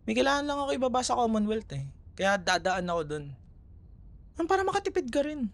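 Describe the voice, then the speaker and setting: A man's voice: very high voice, big pitch swings, a little fast, vocal fry, full character voice. A man with a Filipino accent acting a friendly, teasing ex-boyfriend in an intimate, casual audio roleplay, sometimes hesitant in his phrasing. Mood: friendly, inviting. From Philippines.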